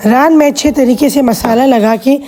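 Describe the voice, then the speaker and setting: A woman hosting a cooking vlog with a hoarse voice, explaining each step patiently with chatty asides. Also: high-pitched, moderate pace, warm tone, a little hiss or hum. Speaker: cooking channel host.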